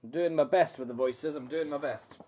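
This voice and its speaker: A man's voice: fairly high pitch, brisk, dark tone. A man reading a storybook aloud as host, with chatty asides to listeners.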